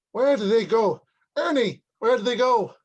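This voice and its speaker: A man playing a brisk, authoritative police officer in an old-time radio drama. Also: high voice, animated, breathy edge, dull audio, full character voice. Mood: flustered. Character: brisk, commanding male beat cop. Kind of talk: 1940s radio drama acting.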